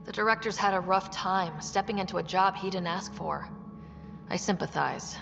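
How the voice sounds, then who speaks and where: Young explorer heroine, woman acting, composed, modest, gravelly edge, video game, muffled with long reverb. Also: mid-range voice, moderate pace, over music, close to an everyday voice. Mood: calm, resolved. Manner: composed, plain-spoken. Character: young human female explorer leader, level-headed, modest. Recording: dull game audio, long reverb, faint noise.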